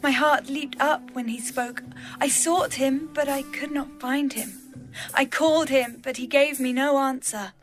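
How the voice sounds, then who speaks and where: A woman playing a lovesick, yearning young bride in a poetic, tender dramatized scripture reading. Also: high voice. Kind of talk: dramatized audio Bible reading.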